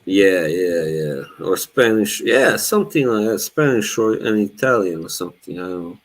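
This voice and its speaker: A man's voice: deep voice, lively intonation, normal speed. A young man from Bosnia, whose first language is Bosnian, hosting a casual live chat in a laid-back way with frequent laughs. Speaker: live stream host.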